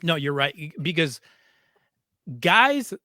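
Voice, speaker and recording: A man's voice: a little high, big pitch swings, takes it slowly, bright, forceful tone, dry recording, studio quality. A man hosting a conversational interview, emphatic and repeating phrases to stress his points. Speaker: podcast host, former coach.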